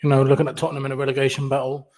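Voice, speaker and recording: A man speaking in a casual online fan chat, quick and matter-of-fact, often adding "man". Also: medium pitch. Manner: quick, flat delivery, says "man" often. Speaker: fan group organiser.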